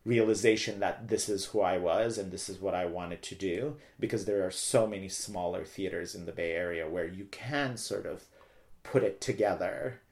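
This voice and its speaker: Middle-aged male interview guest, lowish pitch, talkative and casual. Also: normal speed, darkish tone. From Turkey. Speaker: interview guest, theater director. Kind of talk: casual personal storytelling in an interview.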